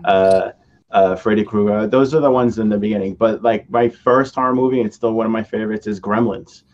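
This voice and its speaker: A man's voice: on the low side, fairly even intonation, brisk, darkish tone. A man chatting casually as a guest on a podcast panel. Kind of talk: casual chat. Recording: dull, lo-fi connection.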